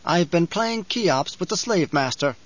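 A man's voice: on the high side, expressive, unhurried, a bit bright, voice pushed up. A man voicing a young, scheming heir in an audiobook, deferential and uneasy beneath courtly composure. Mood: anxious. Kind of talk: audiobook character voice. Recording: slightly dull, lo-fi audio.

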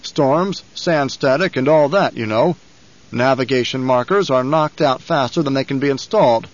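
A male reader acts out a scheming aristocratic villain from an audiobook. He is self-important and haughty, leaning on his words with deliberate, menacing emphasis. The recording is a little dull and lo-fi. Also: mid-range voice, lightly acted. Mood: haughty, irritated.

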